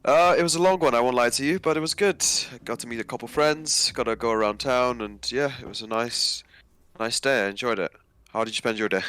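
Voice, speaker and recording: A man's voice: mid-range voice, a little fast, fairly bright tone, clear, resonant tone, soft background music. A man in his twenties from Nigeria, speaking casually as a guest in an interview. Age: 20s.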